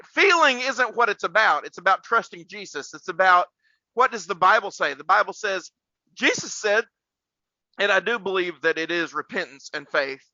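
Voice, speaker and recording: A man's voice: high-pitched, expressive, moderate pace, sharp tone, no room sound, dull audio. A man hosting a casual faith podcast like a preacher, rambling and repeating words for emphasis.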